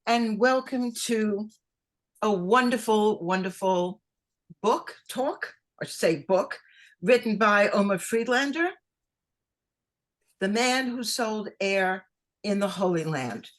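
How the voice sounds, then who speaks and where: A woman introducing an author at an online book event, reading quotes aloud in a measured way. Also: mid-range voice. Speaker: host. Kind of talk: event introduction on a video call.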